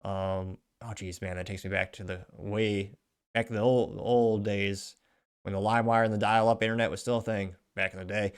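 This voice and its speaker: A man's voice: lowish voice, flat delivery, moderate pace, clear, resonant tone. A man hosting his own show, reading social media posts aloud with chatty asides in casual news commentary. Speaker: show host.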